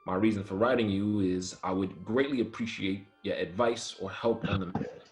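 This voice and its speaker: A man playing an earnest, principled young Army soldier in a screenplay table read, measured and quietly firm. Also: low-pitched, fairly even intonation, soft background music, natural voice. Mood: reflective, earnest. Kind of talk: screenplay table read, first-person narration and dialogue.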